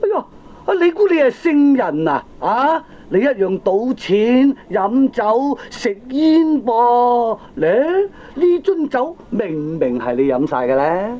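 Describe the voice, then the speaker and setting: A man's voice: very high-pitched, very animated, slow, exaggerated voice. A man playing a feckless, defensive middle-aged gambling husband in a comedy radio drama, blustering in Hong Kong Cantonese with exasperated interjections. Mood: indignant. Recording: dull old cassette radio drama recording.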